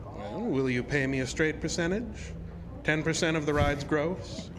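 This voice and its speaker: A male actor plays a suave and sinister carnival showman-engineer in a horror audio drama. His voice sits a little high and his phrasing is formal, cultured and smugly courteous. Loud ambient noise surrounds him. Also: unhurried, close to an everyday voice. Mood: persuasive, smug. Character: suave, sinister male magician's mechanic and carnival ride artist.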